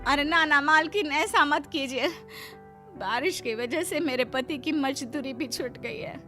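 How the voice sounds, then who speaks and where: A woman voicing a meek, poor village housemaid in an animated moral story, deferential and pleading. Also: high voice, a little slow, soft background music, voice pushed up.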